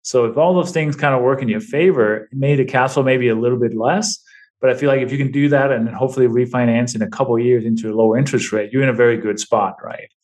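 A middle-aged man speaks as a podcast guest, casual and open. His delivery keeps looping back on itself, with frequent restarts and a habit of checking in with "right?" His pitch sits in an ordinary middle range. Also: fairly even intonation, quick pace, darkish tone. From Denmark. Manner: restarts often, tags points with "right?". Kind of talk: casual interview talk.

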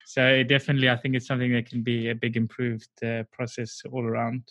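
A man hosting a podcast, asking questions in a relaxed way with some fillers. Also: medium pitch, fast, no room sound. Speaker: podcast host. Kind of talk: casual interview chat.

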